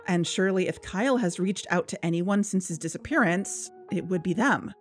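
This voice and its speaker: A woman's voice: on the low side, brisk, close to an everyday voice. Woman playing a young podcast sleuth in a fiction show, earnest and coaxing, music under her. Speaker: podcast host. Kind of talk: fiction podcast narration and phone dialogue.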